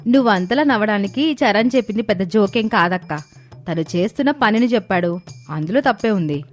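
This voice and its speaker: A woman voicing an earnest, expressive young sister in a dramatized cartoon moral story. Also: medium pitch, fairly quick, natural voice. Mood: indignant. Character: young sisters, eager amateur video makers. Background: background music.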